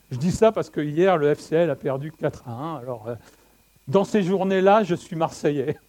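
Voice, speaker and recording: A middle-aged man from France preaching a sermon with slides, conversational and lively, joking with the congregation and swinging between soft and loud passages. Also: a little high, moderate pace, long pauses, a little hiss or hum. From France (Le Havre).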